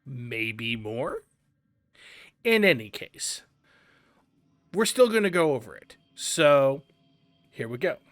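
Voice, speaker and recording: A man's voice: mid-range voice, big pitch swings, takes it slowly, pauses often, no room sound. A man hosting a casual let's-play, explaining in a chatty way with fillers and asides. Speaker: game channel host.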